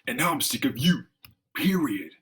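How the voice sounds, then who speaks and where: A man voicing a gruff, bitter, combative brawler turned hulking monster in a dramatic comic-book reading. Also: sing-song, moderate pace, fairly bright tone. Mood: angry, combative. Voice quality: gruff, low voice. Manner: combative, sneering tough-guy talk.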